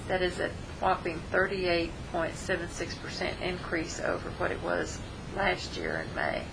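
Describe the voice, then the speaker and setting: Gravelly-voiced woman reading a treasurer's report. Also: fairly low pitch, expressive, moderate pace. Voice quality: scratchy voice. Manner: matter-of-fact, reads out figures. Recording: noticeable background noise.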